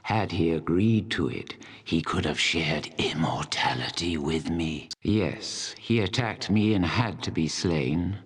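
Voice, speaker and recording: An actor playing an ancient, proud and embittered dragon in a fantasy video game, speaking in a solemn, deliberate, coldly menacing way. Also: male voice, low voice, slightly rough, heavily acted. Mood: solemn, unrepentant. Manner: slow, deliberate, solemn delivery.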